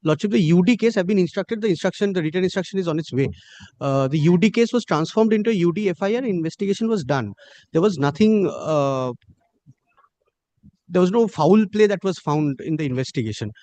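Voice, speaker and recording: A man's voice: high-pitched, expressive, brisk, dark tone. A man with an Indian accent making a formal, explanatory submission to a judge as a lawyer, in a deferential manner. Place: courtroom.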